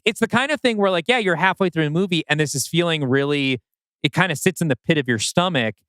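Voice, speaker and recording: Clear-voiced man, slightly high, podcasting. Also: animated, fast talker, fairly bright tone, no echo, studio quality. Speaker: podcast co-host. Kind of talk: casual podcast discussion.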